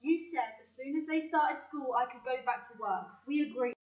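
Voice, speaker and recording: Feisty, plain-speaking young working-class woman, acted by a woman; highish voice, off-mic, community drama. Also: normal speed, close to an everyday voice. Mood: frustrated. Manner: blunt, defiant. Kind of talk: amateur community audio play. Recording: distant, echoey, dull hall recording.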